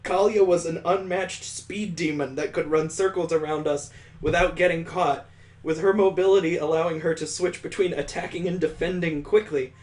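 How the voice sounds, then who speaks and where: A man's voice: fairly high pitch, steady tone, moderate pace, darkish tone. A man reading a visual novel aloud as a streamer, breaking off for sarcastic, amused asides. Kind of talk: reading game text aloud with joking asides.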